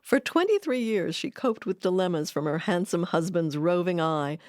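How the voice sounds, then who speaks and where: Woman narrating a documentary, lowish voice, kinda wry, very dry close sound. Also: lively intonation, normal speed, a little mellow, dry recording. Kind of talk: scripted narration with personal asides.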